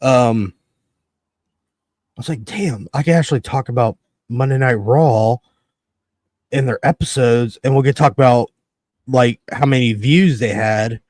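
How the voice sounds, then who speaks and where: A man in his thirties from Connecticut, hosting a casual wrestling podcast, excitable and quick to swear and laugh. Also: mid-range voice, dry recording. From Connecticut, USA. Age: 30s. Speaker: podcast host.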